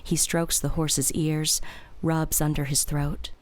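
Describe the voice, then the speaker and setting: A woman reading scripted audio drama narration in a measured, matter-of-fact way. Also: sits low, little pitch movement.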